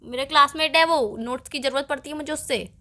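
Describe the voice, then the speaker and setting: A woman's voice: high voice, brisk, bright, forceful tone. A young woman playing a devoted but stubborn girlfriend, pleading and protesting in a conversational phone-call skit. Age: young adult.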